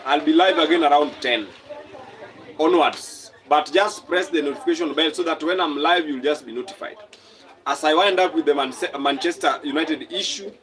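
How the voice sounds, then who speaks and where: A man's voice: fairly high pitch, normal speed, fairly bright tone, rough recording. Kenyan man chatting on a live stream. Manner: reads viewer comments aloud and repeats phrases. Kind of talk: casual live-stream chat.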